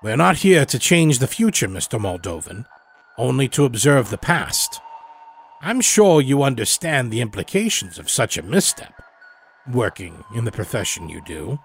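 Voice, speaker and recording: A man voicing an elderly, evasive doctor in a horror story, speaking in a formal, measured, grave way. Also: mid-range voice, very animated, creaky. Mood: grave, warning. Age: older. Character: elderly evasive doctor running a secret time-travel clinic. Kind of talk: dramatic audio horror storytelling.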